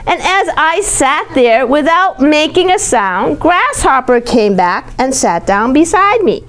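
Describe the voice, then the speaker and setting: A woman's voice: high-pitched, measured pace. A woman reading a picture book aloud to a young child, expressively, with simple explanations and questions along the way. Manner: animated, simple child-directed phrasing, often repeating and asking questions.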